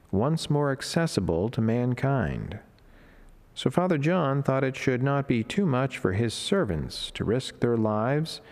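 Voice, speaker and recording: A middle-aged man reading a devotional reflection as a friar, in a solemn, measured, formal way. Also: medium pitch, darkish tone. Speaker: Franciscan friar preacher. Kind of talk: read devotional reflection and prayer. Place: indoor chapel, at a lectern beside the altar. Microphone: lectern microphone.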